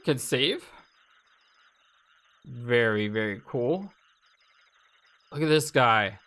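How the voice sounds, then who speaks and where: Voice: mid-range voice, animated, extremely slow, fairly bright tone. A let's play host giving casual, chatty gameplay commentary and reading game text aloud, reacting as they go. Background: video game music.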